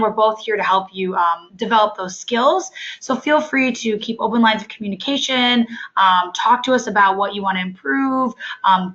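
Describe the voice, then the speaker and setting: A hoarse woman coordinator walks trainees through a webinar, her tone bright and forward in spite of the sickness. She talks haltingly, with plenty of fillers between phrases. The sound is dull and lo-fi, like a computer mic on a call. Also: medium pitch. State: hoarse from illness. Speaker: off-campus study coordinator.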